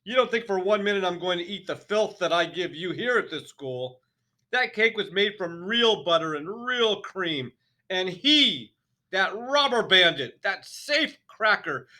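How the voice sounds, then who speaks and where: A man voicing a bellowing, tyrannical headmistress who booms insults, in a storybook reading. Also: exaggerated voice. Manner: booming, shouting insults.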